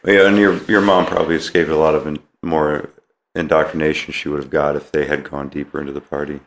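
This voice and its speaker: Deep-voiced man, roomy sound. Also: little pitch movement, quick pace, fairly bright tone. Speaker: podcast interviewer. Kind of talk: casual conversational interview.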